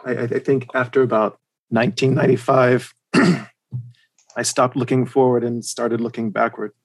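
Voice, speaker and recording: A man with a general American accent, chatting casually as a podcast guest in a hesitant, halting way. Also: medium pitch, flat delivery. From United States and Germany. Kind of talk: casual chat.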